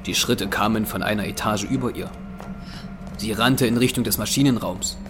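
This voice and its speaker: Low-pitched man narrating over music. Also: moderate pace. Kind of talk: scripted horror audio drama narration.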